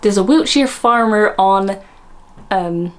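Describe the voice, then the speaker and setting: A woman's voice: mid-range voice, animated, slow. An Australian woman in her thirties with a slightly nasal voice, chatting casually and laughing at herself between filler words. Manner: giggly, self-deprecating, chatty with many fillers. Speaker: vlogger. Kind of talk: casual chat attempting accent imitations.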